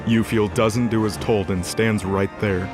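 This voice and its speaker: Male narrator for fantasy audio drama, low voice, scripted dramatic read over music. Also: steady tone, a little slow. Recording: music bed behind voice.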